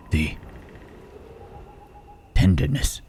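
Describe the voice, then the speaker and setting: A man playing a gentle, melancholy Frankenstein's monster in an intimate ASMR roleplay, speaking haltingly and formally in a husky, breathy rasp. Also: slight background noise. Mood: wounded, tender. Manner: slow, halting, archaic formal phrasing with long pauses.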